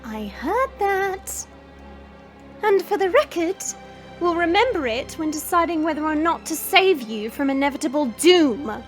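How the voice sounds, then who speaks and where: A woman as a high-pitched, haughty little princess. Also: a little slow, soft background music, exaggerated voice. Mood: teasing. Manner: teasing, proud, expressive. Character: haughty, playful, naive small young princess in disguise.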